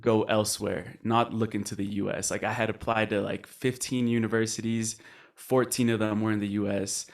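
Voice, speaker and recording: Low-voiced young man from Colombia. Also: level tone, normal speed, gravelly. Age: 20s. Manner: reflective storytelling, earnest. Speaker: podcast guest. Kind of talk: casual podcast interview.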